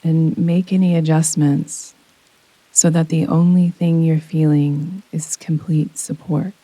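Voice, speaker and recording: A woman gently guiding a yoga nidra relaxation meditation in a soothing, unhurried manner with long pauses. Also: low voice, level tone, no room sound, low-quality recording. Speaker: meditation guide. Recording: close dry voice over rain sounds. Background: soft rain sounds.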